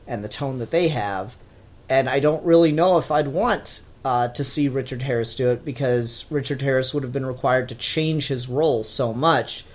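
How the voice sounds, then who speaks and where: Mid-pitched man, emphatic podcast talker. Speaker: podcast co-host. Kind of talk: casual fan discussion chat.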